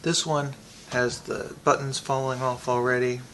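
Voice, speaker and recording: A man giving a casual, unscripted product review, thinking aloud in a halting way with pauses. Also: mid-range voice, level tone, a bit bright, slight background noise, poor audio.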